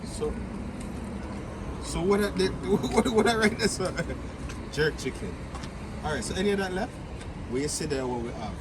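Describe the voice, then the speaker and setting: An animated man tells stories in a casual outdoor chat and slips into the voices of the people he quotes. His voice sits fairly high. Loud traffic and street noise run constantly behind him. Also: normal speed, pauses often. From Toronto, Canada. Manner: animated storyteller, acts out dialogue. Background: passing cars.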